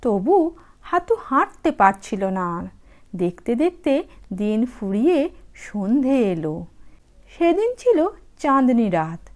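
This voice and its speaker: Slow, high-pitched woman telling a children's story. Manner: animated, unhurried, dramatic phrasing. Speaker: storyteller, audiobook reader. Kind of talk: expressive children's story narration.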